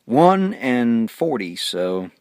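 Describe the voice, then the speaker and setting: A man giving a casual hands-on walkthrough as a vlogger, speaking haltingly and often pausing mid-sentence. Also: medium pitch, very animated, darkish tone, clean tone, dry recording, clean studio sound. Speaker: vlogger showing a radio install.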